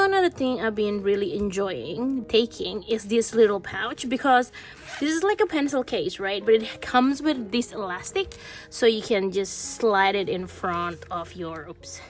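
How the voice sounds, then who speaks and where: A woman vlogger chatting casually as she shows stationery on her desk, her voice a bit congested from a cold, with many fillers and restarts. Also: fairly high pitch. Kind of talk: casual show-and-tell chat. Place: indoors at a desk.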